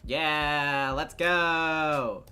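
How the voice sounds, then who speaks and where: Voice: mid-range voice, drawn-out words, pushed and bright, clean tone. A streamer reading game text aloud and commenting casually during a live playthrough. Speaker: streamer and voice actor playing a game blind.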